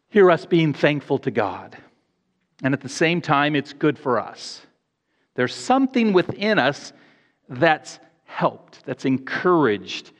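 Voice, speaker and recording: A man preaching a sermon to a congregation in an earnest, measured way, pausing and correcting himself as he goes. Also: fairly high pitch, in a large hall, dull audio. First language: English. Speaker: pastor.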